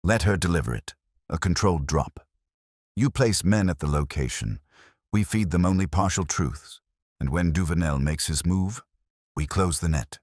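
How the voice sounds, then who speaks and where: A man plays a shrewd Victorian detective in an audiobook story. The voice is extremely low and deliberate, commanding in tone, with expressive swings and a dry, close sound. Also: dry recording. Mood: commanding, strategic.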